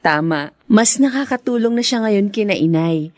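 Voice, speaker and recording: A woman's voice: medium pitch, expressive, normal speed, a little mellow, dry recording, close to an everyday voice. A woman with a Filipino accent playing a proud, affectionate sister and daughter in a radio drama. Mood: fond, hopeful.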